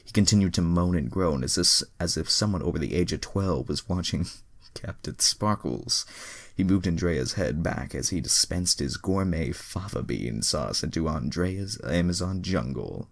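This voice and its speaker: A man's voice: low voice, lively intonation, normal speed, creaky, dry recording. A man reading fan fiction aloud, breaking off into exasperated, sweary asides. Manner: exasperated, sweary commentary on the text. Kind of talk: dramatic reading with casual profane asides.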